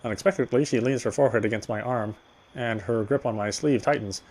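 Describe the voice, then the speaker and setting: A man reading the first-person narration of a horror visual novel in a measured, brooding way. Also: medium pitch, darkish tone. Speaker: reader.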